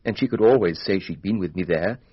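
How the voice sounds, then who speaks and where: Husky, defensive young English lord. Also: male voice, low voice, fairly quick. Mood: confiding. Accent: British. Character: young aristocratic murder suspect, defensive and flippant. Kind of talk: radio drama acting. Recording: dull, band-limited radio drama recording.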